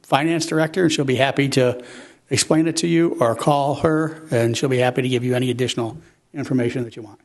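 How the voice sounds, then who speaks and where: A man's voice: medium pitch, fairly even intonation, fast. A middle-aged man, the city manager, speaking courteously and matter-of-factly at a public council meeting. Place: council chamber.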